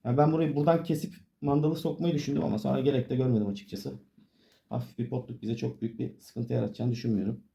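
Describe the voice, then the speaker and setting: A man's voice: mid-range voice, steady tone, fast, gravelly, warm tone. A man giving a casual hands-on tutorial, explaining informally step by step as he works. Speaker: DIY tutorial presenter.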